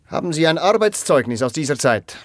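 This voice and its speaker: An actor playing a stern official in a radio drama, questioning formally, curtly and insistently. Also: male voice, fairly high pitch, big pitch swings, a little fast, dry recording. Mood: probing, curt. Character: stern, probing official interrogating a job applicant.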